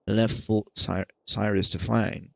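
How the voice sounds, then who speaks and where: A man with a lowish, creaky voice giving casual gaming commentary. He rambles and halts, circling back over his words, in a dry close recording. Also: flat delivery, a bit bright, dry recording. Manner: rambling, halting, repeats himself. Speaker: gaming video creator. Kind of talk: casual let's play commentary.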